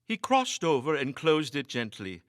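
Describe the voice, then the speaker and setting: A man's voice: on the high side, huge pitch swings, measured pace, dry recording, clean studio sound. A man reading a ghost story as dramatic, suspenseful scripted narration, in a theatrical manner. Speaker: story reader.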